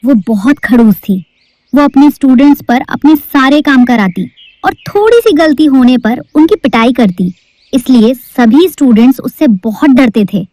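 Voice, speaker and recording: A woman's voice: high voice, animated, moderate pace, dark tone, no echo, rough recording. A woman narrating a cartoon story in a storybook-telling manner.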